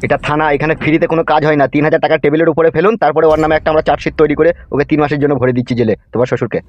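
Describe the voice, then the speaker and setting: A man's voice: on the high side, fairly even intonation, rapid-fire, dry recording, lo-fi sound, close to an everyday voice. A man voicing a corrupt, dismissive junior policeman in a comedy cartoon, with a curt manner. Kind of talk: Bengali comedy cartoon dubbing.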